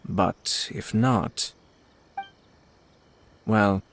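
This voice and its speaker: An actor playing a composed, shrewd young nobleman in a video game, speaking in a formal, polite, deliberate way. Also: on the low side. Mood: calm, thoughtful. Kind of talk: video game character acting.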